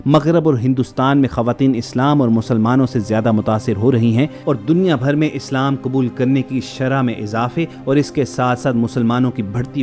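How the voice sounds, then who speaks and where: Male report narrator, formal scripted delivery, mid-pitched voice, music bed underneath. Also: darkish tone. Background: background music.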